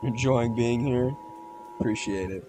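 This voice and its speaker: A man's voice: mid-range voice, unhurried. Casual teenage guy with hum behind him. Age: teenager, seventeen. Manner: slangy, calls listeners dude, man and bro. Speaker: streamer. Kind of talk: casual chat. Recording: noisy mic with clear hum.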